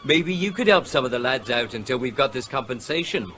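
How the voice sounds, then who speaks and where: An actor playing a suspicious working-class seaside trader in a radio drama, blunt, slangy and needling. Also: male voice, medium pitch, lively intonation, brisk, background noise, lightly acted.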